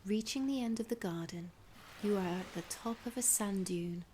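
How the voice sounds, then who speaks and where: A woman narrating a scripted guided imagery meditation in a soft, soothing, breathy voice, gently instructing with long pauses. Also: mid-range voice. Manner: unhurried, with long pauses between phrases. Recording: clear background ambience noise.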